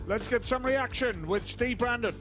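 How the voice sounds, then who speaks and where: A man as lead sports commentator, calling results briskly and handing over to reporters. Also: very high voice, music in the background.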